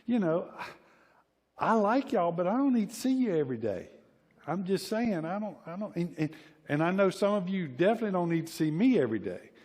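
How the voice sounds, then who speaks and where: A man's voice: high-pitched, sing-song, a little fast, a little mellow. Southern man preaching in a reverberant hall. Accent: Southern American. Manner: folksy, informal, repeats phrases for emphasis. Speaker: pastor preaching. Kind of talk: conversational sermon with personal anecdotes. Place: church hall, preaching to a congregation.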